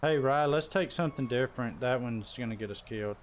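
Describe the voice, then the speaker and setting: A man's voice: medium pitch, brisk. Man streaming a game over light noise. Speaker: live streamer and gamer. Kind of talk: casual live game-stream chat. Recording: noisy game-stream audio, vehicle sounds behind.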